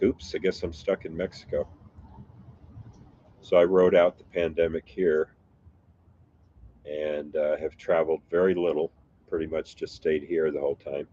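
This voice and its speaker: Deep-voiced man, a bit nasal from allergies. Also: warm tone, lo-fi sound. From United States. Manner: rambling, halting, self-correcting. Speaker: YouTube reaction channel host. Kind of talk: casual chat with viewers. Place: home in a Mexican town.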